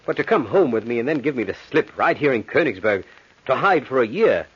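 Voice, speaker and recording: A man's voice: medium pitch, lively intonation, a little fast, breathy edge. A man playing an agitated, scolding, fatherly friend in an old radio horror drama, theatrical and exclamatory. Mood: exasperated, pleading. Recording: dull old radio recording.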